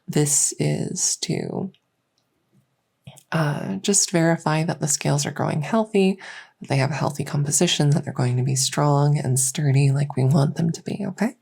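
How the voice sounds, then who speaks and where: A woman playing a gentle, reassuring fairy-dragon clinician in an unhurried, slightly hesitant medical roleplay ASMR. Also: deep voice, gravelly, husky, heavily acted. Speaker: roleplay audio performer.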